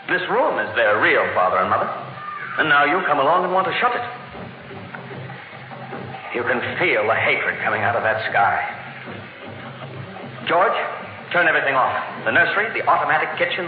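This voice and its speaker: An actor playing a grave, authoritative psychologist and family friend in a 1950s radio drama, speaking emphatically. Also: male voice, fairly high pitch, lightly acted. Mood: urgent warning. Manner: emphatic, professional. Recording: echoey, narrow vintage radio recording.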